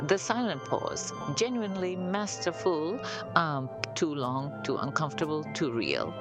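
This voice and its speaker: Woman with a really low voice reading a satirical review, music behind her. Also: lively intonation, a little slow.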